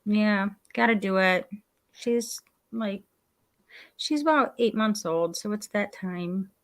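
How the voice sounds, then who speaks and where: A woman's voice: mid-range voice, slow, dry recording. A woman in her forties chatting in a bubbly, talkative way on a group call. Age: 40s. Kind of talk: casual group video chat.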